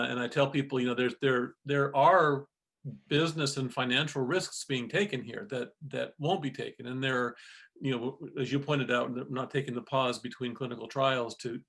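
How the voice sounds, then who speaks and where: Halting man with a creaky voice. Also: medium pitch, a bit bright. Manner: halting, repeats words as he searches for phrasing. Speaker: moderator, chief executive of a trade council. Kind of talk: moderated discussion, conversational.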